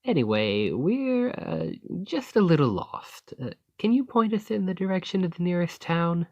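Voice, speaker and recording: A man's voice: high-pitched, big pitch swings, normal speed, a little mellow, no echo, muffled recording. A man playing a roguish bandit leader in an ASMR roleplay, teasing and grudgingly impressed, with folksy turns of phrase. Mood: sheepish, bluffing. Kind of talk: ASMR roleplay acting, one-sided dialogue.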